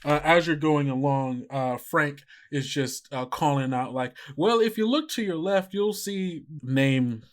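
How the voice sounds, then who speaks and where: A man's voice: a little high, a little slow, few pauses. A man narrating as game master in a casual way, with many fillers.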